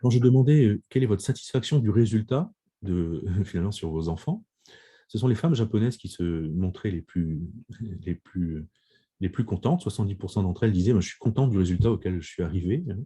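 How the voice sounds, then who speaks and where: Deep, husky male lecturer over video call. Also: lively intonation, dark tone. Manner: measured, many restarts and pauses with "euh". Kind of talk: video conference talk presenting survey findings.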